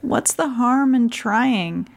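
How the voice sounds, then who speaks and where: A weary, sickly woman in an audio drama. She speaks extremely slowly in a slightly high, expressive voice. She sounds resigned yet reasonable. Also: creaky, heavily acted. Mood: hesitant, pleading. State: sick, coughing.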